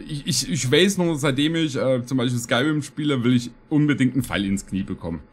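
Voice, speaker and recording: A man's voice: mid-range voice, brisk, slight background noise. A man in his thirties giving casual, rambling, slangy reaction commentary with stammered repetitions. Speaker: reaction video host. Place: small room at home, streaming setup.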